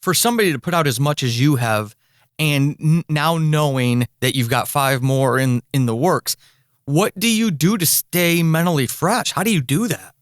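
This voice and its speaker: A man hosting a podcast interview, talking conversationally and deliberately as he works toward his questions. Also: a little high, no echo, clean studio sound. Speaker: podcast host.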